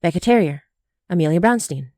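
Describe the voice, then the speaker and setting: A woman's voice: fairly low pitch, lively intonation, a little fast, warm tone, no room sound, clean studio sound. A woman hosting a podcast, reading scripted credits and announcements straight to the listeners. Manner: addresses listeners directly, reading out lists. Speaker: podcast creator and host.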